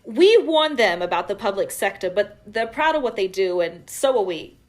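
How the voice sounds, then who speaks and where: A woman's voice: medium pitch, very animated, normal speed, natural voice. An actress playing a proud, well-to-do middle-aged mother and hostess in an audio drama, talking in a wry, sociable way.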